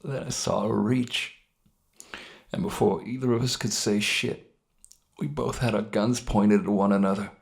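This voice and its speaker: A man playing a wounded, guilt-ridden criminal in a narrated story, halting and pained, with a gravelly, emotion-strained voice. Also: lowish voice, steady tone. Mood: rueful, dazed. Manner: halting, rueful, with sighs and bitter weak laughs. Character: wounded, guilt-ridden criminal mourning his dead brother. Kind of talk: dramatic monologue in a narrated story.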